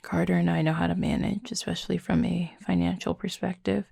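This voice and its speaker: A woman voicing a confident, flirty werewolf pack leader in an intimate audio roleplay, with a husky, breathy, gravelly voice and a teasing, sardonic drawl. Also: fairly low pitch, fairly even intonation, fairly quick, mellow, no room sound, clean studio sound, full character voice. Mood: guarded, earnest.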